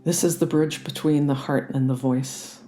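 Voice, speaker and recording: A meditation guide leading a guided relaxation in a gentle, soothing and unhurried manner. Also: mid-range voice, steady tone, faint music behind. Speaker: yoga nidra meditation guide.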